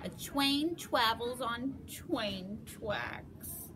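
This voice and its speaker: A shy little rodent boy from a storybook, acted out by a woman reader, mumbles miserably and turns every R into a W. The delivery is slow and timid, with noise behind it. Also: fairly high pitch, expressive, voice pushed up. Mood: timid, miserable. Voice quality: says R as W, speech impediment. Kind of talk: storybook character voice.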